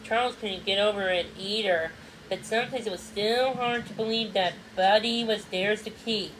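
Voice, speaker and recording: A woman reading a children's storybook aloud as narrator, somewhat haltingly, sometimes repeating or correcting a word. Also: medium pitch, a bit bright, a little hiss or hum, low-quality recording. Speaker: read-along narrator.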